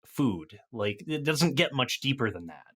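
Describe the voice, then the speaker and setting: Lively-pitched man chatting thoughtfully about games. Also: measured pace. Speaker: podcast guest, game designer and writer. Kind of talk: casual discussion of games.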